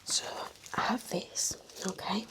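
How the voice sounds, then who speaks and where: A woman whispering in a gentle, soothing, encouraging way as she role-plays an attentive nurse for ASMR. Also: slow, rough recording. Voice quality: breathy whisper. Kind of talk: whispered ASMR medical exam roleplay.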